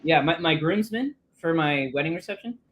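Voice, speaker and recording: A man's voice: a little high, animated, moderate pace. A man talking casually as a guest on a live stream, speaking through swollen cheeks after dental surgery. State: swollen cheeks after wisdom teeth removal. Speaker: guest, knife counter clerk.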